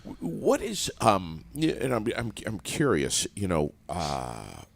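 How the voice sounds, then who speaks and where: A man's voice: low-pitched, wildly swooping pitch, slow, gravelly. A man hosting a casual radio interview in a studio, loose and joking, with some casual swearing. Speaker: radio host.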